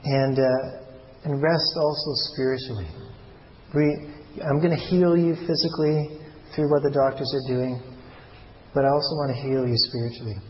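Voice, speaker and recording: A man's voice: medium pitch, fairly even intonation, moderate pace. Man preaching in a muffled, echoing hall. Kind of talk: personal testimony sermon. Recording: muffled, noisy recording in a reverberant hall.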